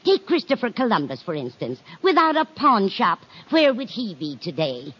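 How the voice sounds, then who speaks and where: Husky chatty older landlady. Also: medium pitch, sing-song, moderate pace, a bit bright. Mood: breezy, earnest. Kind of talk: 1950s radio sitcom acting. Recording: dull vintage 1950s radio recording.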